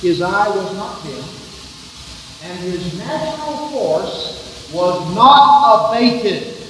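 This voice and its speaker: A male preacher with a fairly high voice, working from soft scripture reading up to a loud, fervent pitch. He is heard from a distance in a big church hall with a long echo and a faint hum of the room behind him. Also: very animated, drawn-out words. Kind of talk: sermon. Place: church sanctuary. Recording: echoey hall from far off, faint room noise.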